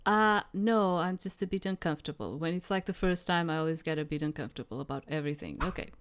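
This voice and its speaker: Low-voiced woman rambling through a game. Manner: rambling, halting, repeats herself. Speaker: streamer playing a visual novel demo. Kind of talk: casual playthrough commentary, reading game text aloud.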